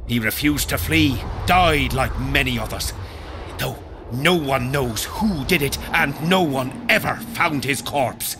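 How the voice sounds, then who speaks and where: An actor playing a proud, rough army veteran in a fantasy video game, speaking in a blunt, rustic soldierly manner. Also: male voice, medium pitch, slow, noisy background, close to an everyday voice. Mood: reflective. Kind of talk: video game dialogue acting.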